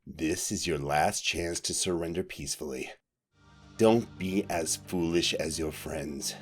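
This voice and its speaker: Man doing a stern jedi boss for an audio drama, extremely low voice, formal and measured, faint music behind. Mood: cold, menacing. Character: stern, commanding Jedi antagonist on a holocom.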